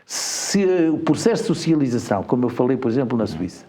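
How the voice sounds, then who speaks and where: A man's voice: mid-range voice, very animated, fast, mellow. A man from Portugal with a European Portuguese accent, a guest in a studio interview, explaining at length with frequent hesitant fillers. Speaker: guest, education group administrator.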